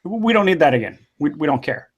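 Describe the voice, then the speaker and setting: A man's voice: a little high, big pitch swings, fairly quick, slightly rough. A man co-hosting a casual podcast, giving blunt opinions. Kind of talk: casual chat.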